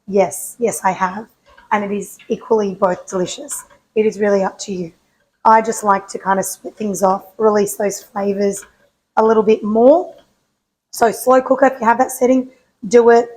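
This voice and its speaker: A woman with a croaky voice talks through a recipe in her kitchen. She chats casually, asks herself questions and answers them as she cooks, with pitch in the middle of the usual range. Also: fairly even intonation, moderate pace, darkish tone, rough recording. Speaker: cooking show host. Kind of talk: casual cooking demonstration.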